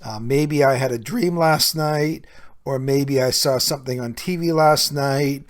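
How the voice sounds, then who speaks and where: A man's voice: mid-range voice, a little slow. A man hosting a podcast solo, talking conversationally and earnestly, explaining things directly to the listener as a coach would. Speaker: podcast host and recovery coach.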